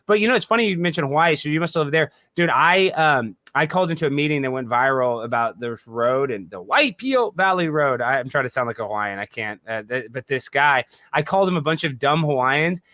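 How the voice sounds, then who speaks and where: A man chatting casually as a podcast guest, telling stories in a slangy, excitable, rambling way. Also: medium pitch, fairly quick, hardly any pauses, dry recording. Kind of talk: casual chat.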